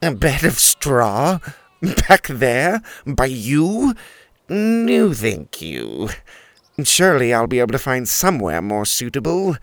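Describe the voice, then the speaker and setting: A stuck-up male turkey with a lively, swooping voice, sniffing at everything around him in a slow, haughty drawl, as a man puts him on. The sound is dry and close. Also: medium pitch, dry recording. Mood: complaining. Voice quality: haughty, sneering, drawn-out delivery. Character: snooty grumpy male turkey, complaining, pompous.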